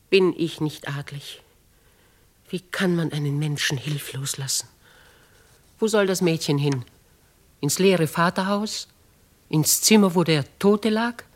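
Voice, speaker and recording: Husky, imperious noblewoman. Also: fairly low pitch. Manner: grave, commanding, theatrical. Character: dignified, compassionate noblewoman, imperious with her servant. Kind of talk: 1950s German radio play acting.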